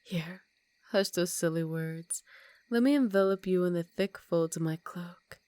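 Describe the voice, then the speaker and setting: Low, teasing vampire countess acted by a woman. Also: warm tone, no echo, lightly acted. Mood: haughty, teasing. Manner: slow, teasing, condescending, with breathy half-whispers. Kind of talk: ASMR roleplay.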